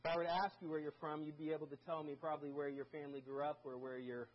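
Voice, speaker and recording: A man's voice: a little high, flat delivery, racing, lo-fi sound, a little hiss or hum. A man who grew up in Germany, a guest preacher giving a casual, conversational sermon full of stories and self-deprecating asides. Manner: chatty, self-deprecating, many restarts and fillers.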